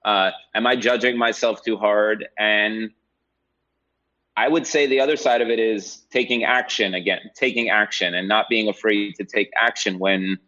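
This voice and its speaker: Low-voiced man, dull lo-fi sound. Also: steady tone, moderate pace, sharp tone. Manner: reflective, frequently checks in with "right?". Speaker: podcast guest, real estate agent. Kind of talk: conversational interview, personal reflection.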